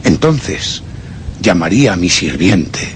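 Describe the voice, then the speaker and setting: A vengeful murderer telling his tale in a radio horror play. His Castilian Spanish is deliberate and menacing, fairly low-pitched yet highly expressive. Clear noise sits behind the recording. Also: full character voice. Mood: bitter, vengeful. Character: cold, vengeful male murderer recounting his crime. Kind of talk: dramatic first-person radio horror narration.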